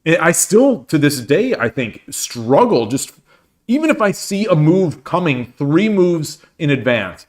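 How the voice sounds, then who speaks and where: Rambling man chatting on a livestream. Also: fairly high pitch. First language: English. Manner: rambling, many restarts and fillers. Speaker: streamer and host. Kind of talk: casual livestream chat, reading chat comments.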